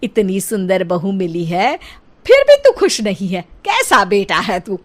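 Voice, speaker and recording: Woman acting a slow-talking, proud mother-in-law. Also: medium pitch, big pitch swings, voice pushed down. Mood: chiding. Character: proud, doting middle-aged mother-in-law, indulgent yet exasperated.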